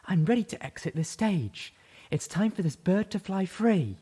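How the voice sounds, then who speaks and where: A man's voice: high-pitched, animated, normal speed, mellow, slightly rough, voice pushed up. A man voicing a unicorn mare with a luxurious voice, singing a song. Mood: soaring.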